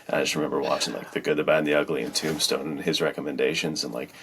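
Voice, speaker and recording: A man's voice: rumbling low, steady tone, very fast, creaky, fairly bright tone, slight background noise. A man co-hosting a podcast as an author, chatting in an informal, enthusiastic way. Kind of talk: casual chat.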